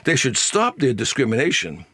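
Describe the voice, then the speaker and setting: Older guy reading his own opinion piece, wry n deliberate, voice moves up and down a lot, no room echo. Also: mid-range voice, huge pitch swings, pushed and bright, breathy edge. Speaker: author reading own essay. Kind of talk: scripted opinion essay read aloud.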